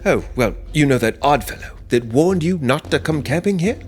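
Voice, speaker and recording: Genteel supernatural murderer, played by a man, wordy and blithely matter-of-fact, lively intonation, comedy horror audio drama. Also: fairly high pitch, normal speed, faint music behind, natural voice. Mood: blithely polite. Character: polite, chatty, fussy supernatural slasher killer on tea break.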